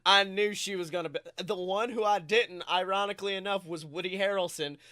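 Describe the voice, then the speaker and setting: High-pitched, bright-voiced man chatting casually. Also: a little fast, dry recording, clean studio sound. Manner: animated storytelling, acts out quotes, swears freely. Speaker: podcast co-host. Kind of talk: casual comedic podcast chat.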